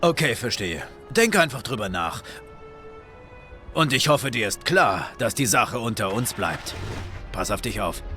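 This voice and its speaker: A polite gangster in a video game, controlled and businesslike with quiet menace. His pitch is in the middle of the male range. Music runs behind the speech. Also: lively intonation, measured pace. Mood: calm, courteous. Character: calm, courteous, quietly menacing male mobster.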